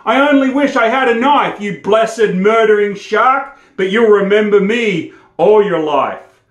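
A man acts out an indignant Australian bushman from a storybook ballad. The voice is pushed high and loud, full of boastful fury. It rings in an echoey room. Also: a little slow. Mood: enraged. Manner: shouting, indignant. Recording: roomy indoor echo.

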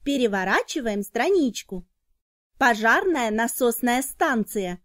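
A woman with a fairly high voice narrates an educational cartoon for children. She explains things in short, neatly pronounced phrases. There is clear noise in the recording, with some clicks. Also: big pitch swings, unhurried.

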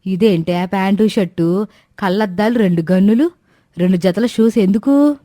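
A woman's voice: medium pitch, moderate pace, mellow, no echo, studio quality, natural voice. A woman voicing a sarcastic, wary older village mother-in-law in a cartoon story, scolding in rustic colloquial speech. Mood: puzzled. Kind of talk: animated Telugu moral story dialogue.

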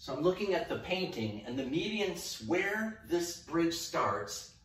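A middle-aged man giving a casual painting demonstration, talking haltingly and thinking aloud as he works. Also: fairly high pitch. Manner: relaxed, halting, thinking aloud while working. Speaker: mural painter presenting a tutorial. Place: indoor room, painting at a wall. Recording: echoey room, distant mic, faint noise.

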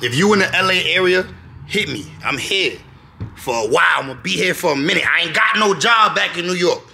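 Young man, casual slangy tour host, slightly high voice, echoey rooms with steady noise. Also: a little fast, creaky. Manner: laid-back, repeats phrases, keeps asking "you know what I'm saying". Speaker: vlogger giving an apartment tour. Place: rental apartment, indoors then rooftop. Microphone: handheld camera held close.

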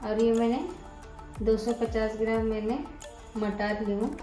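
A woman's voice: on the high side, a little slow, roomy sound, music in the background. Woman giving a recipe voice-over. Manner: plain instructive, steady explaining each step. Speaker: cooking channel host.

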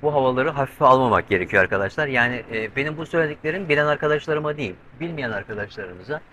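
A man's voice: mid-range voice, animated, rapid-fire, pushed and bright, slight background noise. A middle-aged man vlogging alone on his sailboat at sea, chatting to the camera and explaining step by step, tense as he watches the weather. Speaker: solo sailing vlogger. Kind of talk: casual vlog talk to camera. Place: covered cockpit of a sailboat at sea, outdoors. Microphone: handheld camera with mic on a pole.

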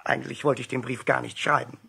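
A man playing a stern but kindly schoolteacher in a 1960s German radio play, deliberate and emphatic, on a noisy old record. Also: medium pitch, flat delivery, gravelly, pushed and bright. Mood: stern, indignant.

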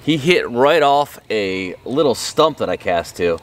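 A middle-aged man with a mid-pitched voice talks like an outdoor vlog host. His delivery is casual, colloquial and enthusiastic. Faint ambient noise sits behind him, as if recorded on open water. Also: sing-song, unhurried. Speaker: fishing show host. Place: open boat on a lake.

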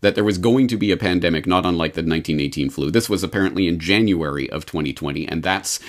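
Low-pitched man hosting an interview. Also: quick pace. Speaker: interviewer, host. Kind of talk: casual interview talk.

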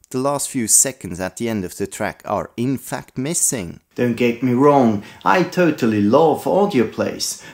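Guy with a low voice doing a narrated collector video. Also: darkish tone. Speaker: video host, audio play collector. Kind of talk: scripted retrospective narration.

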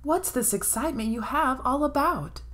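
A woman voicing an affectionate, down-to-earth mother of young children in a storybook, gently correcting. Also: sits high, lively intonation, moderate pace, voice pushed up. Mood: curious.

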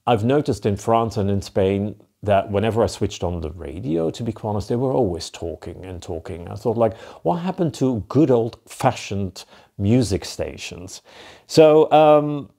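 A man's voice: on the low side, expressive, normal speed, gravelly, dark tone. A Flemish man in his fifties, answering viewer questions in a casual, rambling way on a lapel mic in a cosy living room. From Belgium (Flanders). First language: Dutch. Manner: chatty, filler-heavy, repeats "to be quite honest". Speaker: van life vlogger. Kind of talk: casual Q&A to camera.

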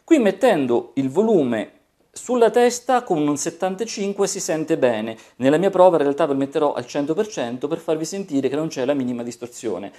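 A man in his forties hosting a casual product review, playful and expressive, drawing out words for emphasis. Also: fairly high pitch, normal speed. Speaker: tech reviewer, video host. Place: small home studio room with desk and monitors.

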